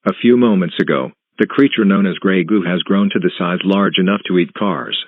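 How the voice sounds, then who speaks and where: A man reading a scripted emergency alert announcement in a formal, impersonal, measured manner. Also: sits low, no echo. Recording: tinny phone-like alert broadcast audio.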